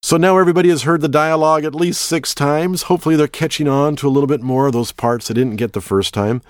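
A man's voice: fairly high pitch, no echo. Man patiently explaining language points. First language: English. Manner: explains slowly, repeats phrases for learners. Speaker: language lesson co-host. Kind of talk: conversational language lesson.

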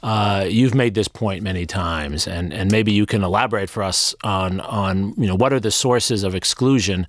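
A man interviewing in a reflective conversation, with measured academic phrasing and pauses. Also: fairly low pitch, no room sound.

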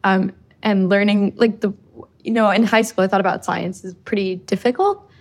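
A woman's voice: medium pitch, fairly even intonation, moderate pace. Chatty young woman from Costa Rica. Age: young adult. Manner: chatty, lots of likes and ums. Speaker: undergraduate student guest. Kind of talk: casual interview.

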